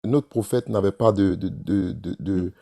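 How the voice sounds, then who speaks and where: A man debating calmly on a live stream, measured and often restarting his phrases. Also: low-pitched.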